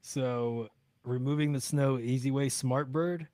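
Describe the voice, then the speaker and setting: Male producer, medium pitch, dry close sound, wisecracking, casual. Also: fairly even intonation, unhurried, a little mellow, no echo. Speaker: show producer. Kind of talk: casual studio banter.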